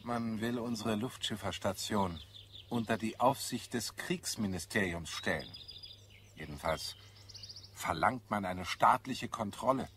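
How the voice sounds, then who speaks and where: A low-voiced, earnest scholar in a radio drama. He speaks in a measured, solemn way, like a visionary idealist. Birdsong is clearly audible behind him. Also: fairly bright tone, a little hiss or hum. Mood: grave. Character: earnest idealistic male scholar, secretly half Martian. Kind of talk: German radio play acting. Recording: clear background birdsong and ambience. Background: birdsong in a garden scene.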